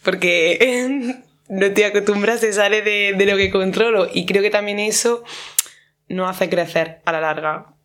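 A woman's voice: mid-range voice, unhurried, a bit bright. A woman hosting a community radio program, chatting expressively about writing and introducing readers. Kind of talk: casual radio chat.

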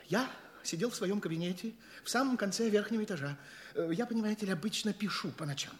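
High-pitched, flustered journalist played by a man. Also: fast, close to an everyday voice. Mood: agitated, dramatic. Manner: rambling, stammering, fussily polite. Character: flustered, talkative male journalist, shaken crime witness. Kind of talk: Russian radio play acting.

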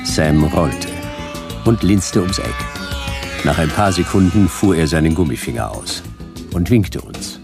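Casting: weary noir detective, extremely low and creaky, deadpan short phrases, music loud underneath. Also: male voice, unhurried, heavily acted. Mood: tense, awed. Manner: terse, deadpan. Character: laconic, weary hard-boiled detective man narrating his adventure. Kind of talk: hard-boiled radio play narration and dialogue. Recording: radio play over loud backing music.

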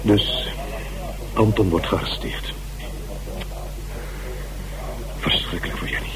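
An actor playing a hesitant but talkative man in a radio drama, speaking haltingly and conversationally. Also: deep voice, expressive, poor audio. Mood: dismayed. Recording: radio recording with background chatter.

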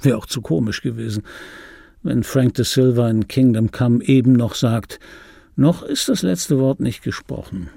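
An actor playing an embittered, wistful dubbing actor in his fifties, delivering a dry, brooding radio play monologue in a husky voice. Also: mid-range voice, unhurried, warm tone, no echo. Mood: resigned, wry. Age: 50s. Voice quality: husky, gravelly.

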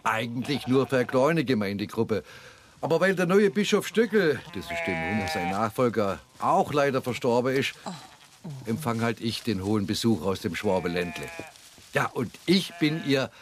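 An actor playing a pious, hospitable old church elder with a homely, chatty way of talking, in a radio drama. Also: male voice, mid-range voice, big pitch swings, moderate pace, noisy background, natural voice. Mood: welcoming, proud.

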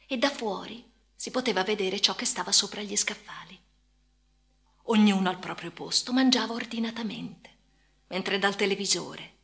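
A woman's voice: medium pitch, creaky, a bit bright. An actress playing a haunted woman patient in a first-person radio drama monologue, speaking intimately and often dropping toward a whisper. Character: haunted woman patient recounting institutional life. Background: music.

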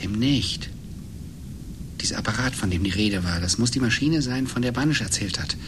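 A man playing a thoughtful, calmly defiant writer in a German radio play, with a reflective, measured manner. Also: fairly low pitch, noisy background, natural voice. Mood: grave realisation.

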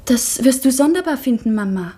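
An anxious young schoolgirl from an old radio play confides in a high, airy voice. She talks intensely and falters when emotion rises. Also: expressive, a little fast, mellow, slightly rough. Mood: confiding. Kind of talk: 1960s radio drama acting.

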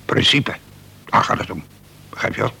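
An actor playing a crafty, coarse prison convict in a radio play, slangy and cynical. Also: male voice, moderate pace, sharp tone, a little hiss or hum. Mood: cynical, scheming. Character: crafty, coarse male convict, cynical self-styled man of principle.

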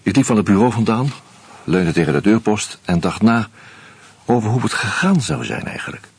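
A man narrating a radio drama as a weary man investigating a murder, in a measured, grim, low-key way. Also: animated, husky, no room sound. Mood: tense. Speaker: first-person narrator and detective figure.

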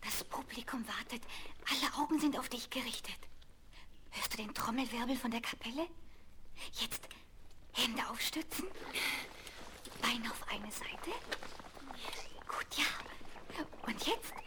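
A woman's voice: on the high side, measured pace, long pauses, whispered, natural voice. A woman playing a bold, cheeky young circus girl in a 1970s German children's radio play, with an exuberant, commanding manner. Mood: commanding, showy. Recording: noisy 1970s vinyl transfer.

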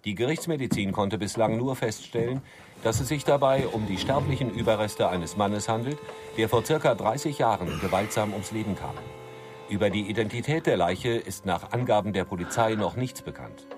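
A man's voice: fairly low pitch, normal speed, music in the background, close to an everyday voice. A man playing a formal newsreader and announcer, reading scripted lines in a radio drama.

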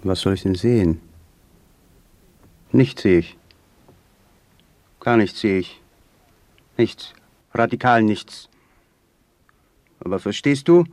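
A man's voice: fairly low pitch, takes it slowly, long pauses, a little mellow, dry recording. A man acting in a radio play as a brusque, scornful man who despises sentimentality, firing curt, probing questions.

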